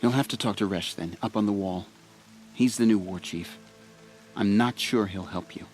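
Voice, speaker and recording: Man acting a gentle, earnest young tribesman over music. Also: on the low side, moderate pace, close to an everyday voice. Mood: worried, cautious. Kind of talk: video game cutscene acting.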